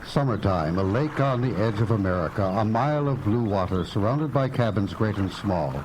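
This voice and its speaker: A man as a low-voiced suspense drama narrator. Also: animated, moderate pace, darkish tone, a little hiss or hum, close to an everyday voice, low-quality recording. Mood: suspenseful. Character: suspenseful male storyteller introducing a mystery radio drama. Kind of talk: scripted radio drama narration. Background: music.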